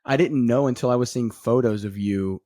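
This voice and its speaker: A man's voice: mid-range voice, fairly quick, dark tone, no room sound. A man in his twenties from Maryland with an American accent, a podcast guest and minister chatting casually with frequent restarts. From Maryland, USA. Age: 20s. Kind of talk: casual interview chat.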